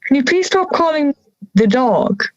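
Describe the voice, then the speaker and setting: A woman's voice: sits high, sing-song, unhurried, highs cut off. A young woman playing a dry, civil talking wolf in an audio drama, wry and easily offended. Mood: exasperated.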